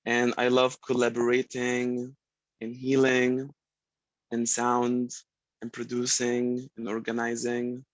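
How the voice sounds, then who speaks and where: A man with an Egyptian Arabic accent, a native Arabic speaker, introducing himself on a video call, gentle and hesitant with many pauses and ums. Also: medium pitch, no echo, muffled recording. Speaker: participant introducing themself. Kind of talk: casual self-introduction.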